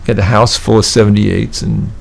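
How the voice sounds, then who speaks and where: A low-voiced older man with heavy creak and fry. He talks casually as a guest, recounting trips in a halting, rambling way. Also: fairly even intonation. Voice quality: gravelly, creaky voice. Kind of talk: casual conversation, anecdotes.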